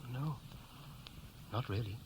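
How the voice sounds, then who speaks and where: A man's voice: mid-range voice, slow, darkish tone, lightly acted. An actor playing a restless, evasive young Welshman in a 1960s radio play, speaking in a hesitant, colloquial way. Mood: doubtful, frank. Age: young adult. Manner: hesitant, colloquial, self-correcting. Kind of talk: 1960s radio play acting, naturalistic dialogue. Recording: noisy vintage 1960s radio recording.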